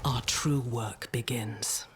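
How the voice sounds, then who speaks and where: A woman playing a solemn, commanding, darkly seductive demon queen in a video game, with a breathy, whispery delivery. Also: a bass voice, very noisy. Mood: solemn, ominous, seductive. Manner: slow, solemn, portentous delivery. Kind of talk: video game villain voice lines.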